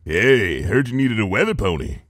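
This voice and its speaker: A man's voice: lowish voice, very animated, moderate pace, a bit bright, dry recording, clean studio sound, pitched below the performer's own voice. A man voicing a cocky, easygoing male pegasus in a story reading, casual and swaggering.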